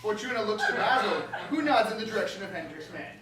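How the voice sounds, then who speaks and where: A woman's voice: mid-range voice, animated, fast, a bit bright. A woman reading stage directions as scripted narration. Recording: very echoey hall, distant mic.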